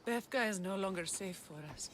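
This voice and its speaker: A woman's voice: medium pitch, brisk, a little hiss or hum, lightly acted. An actress playing a guarded Greek woman hardened by danger in a video game, solemn and intense. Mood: grave, grateful. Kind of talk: video game cutscene acting.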